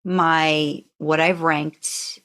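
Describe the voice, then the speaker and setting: A woman's voice: low voice, flat delivery, very slow, fairly bright tone. A woman co-hosting a podcast in casual, conversational chat. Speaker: podcast co-host.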